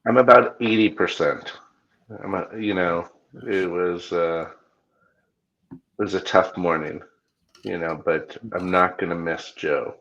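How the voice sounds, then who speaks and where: A man's voice: sits low, steady tone, unhurried, pauses often, a bit bright, highs cut off. A man speaking casually as a guest on a talk show. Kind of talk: casual chat.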